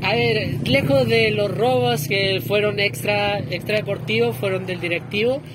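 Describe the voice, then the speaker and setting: A man's voice: sits high, a little slow, very noisy. A young Chilean man joking around as a mock post-match reporter, recorded outdoors by a bench on a distant camera mic. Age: young adult. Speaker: mock sports interviewer and player. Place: outdoors by a bench at a sports venue.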